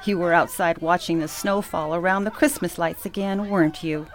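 A woman playing a loving, bustling grandmother in a sentimental radio play, expressive, chatty and affectionately teasing. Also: fairly low pitch, normal speed, lightly acted.

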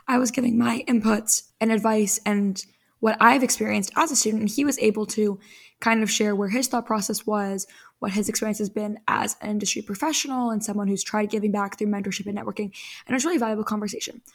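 A young woman hosting a solo podcast, giving earnest, chatty advice while her voice fades a little and sounds slightly hoarse. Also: medium pitch, steady tone, quick pace. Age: young adult. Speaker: podcast host.

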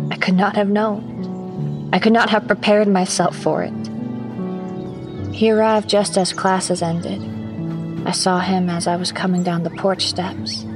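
A woman plays a dutiful young schoolteacher with a sharp private mind, narrating her diary for an audio horror drama. Her voice sits fairly low and her diction is formal and old-fashioned, with music clearly underneath. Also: natural voice. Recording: studio narration over prominent music.

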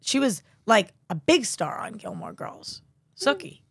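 A young adult woman co-hosting a casual entertainment chat show, excited and sarcastic, who swears freely. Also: a little high, a little slow, husky, no echo, studio quality. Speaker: co-host.

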